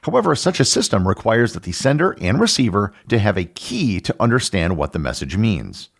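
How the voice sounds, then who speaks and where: A man hosting a podcast, reading a scripted educational narration in a measured, explanatory way with emphatic phrasing. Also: on the low side, very animated, vocal fry. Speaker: podcast host.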